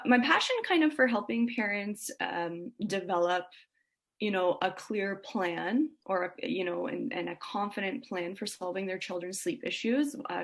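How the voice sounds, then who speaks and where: Guest consultant: woman, mid-pitched with noticeable vocal fry, earnest, conversational explainer. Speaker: sleep consultant, podcast guest. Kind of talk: casual explanatory interview.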